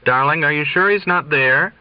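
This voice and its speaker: A man's voice: on the high side, normal speed, clean tone, no room sound, highs cut off, pitched above the performer's own voice, close to an everyday voice. A man reading an audiobook as a worried older father, affectionate but probing, pressing with questions. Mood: concerned.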